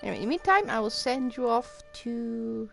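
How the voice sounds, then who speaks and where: A woman's voice: fairly high pitch, expressive, normal speed, creaky. A woman giving casual live commentary on a video game, with wry, informal asides. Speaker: game livestreamer. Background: game music.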